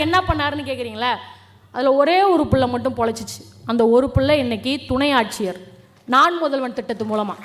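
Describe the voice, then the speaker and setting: A young woman with a Tamil Nadu accent giving a fiery, colloquial political stage speech, challenging and combative, throwing out rhetorical questions. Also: sits high. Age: young adult. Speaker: political speaker.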